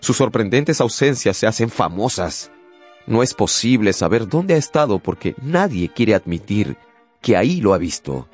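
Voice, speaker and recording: Male narrator, very low voice, dramatic scripted storytelling, radio drama, music underneath. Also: sing-song, normal speed, a little mellow.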